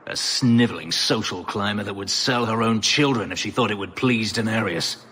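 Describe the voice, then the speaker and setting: A man's voice: on the low side, normal speed, bright, forceful tone, husky. An actor playing a bitter, brooding male elf who escaped slavery, speaking grimly and formally in a video game. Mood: wary, resentful. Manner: grim, terse, formal phrasing.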